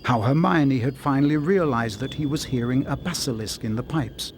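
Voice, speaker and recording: A man narrating a scripted story in a measured, dramatic storybook manner. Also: medium pitch, a little hiss or hum.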